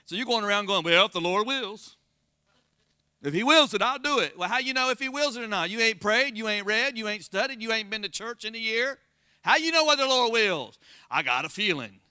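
A pastor with a Southern Florida accent preaching a sermon, folksy and calling out to the congregation for responses. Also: male voice, sits high, lively intonation, a little fast, sharp tone, smooth, no echo, studio quality. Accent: Floridian Southern American. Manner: call-and-response, folksy, rising to emphatic exclamations.

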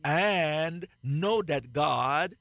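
A man with a Jamaican accent, preaching on a radio broadcast in a slow, emphatic way and repeating key phrases. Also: on the high side, animated, vocal fry, a bit bright, dry recording, studio quality. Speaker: pastor. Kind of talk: radio sermon, co-hosted Bible teaching.

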